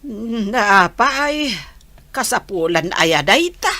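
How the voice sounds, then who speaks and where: A woman's voice: medium pitch, lively intonation, slow, sharp tone, no room sound. A woman with an Ilocano accent playing an inquisitive, simple village mother in a radio comedy drama. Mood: puzzled.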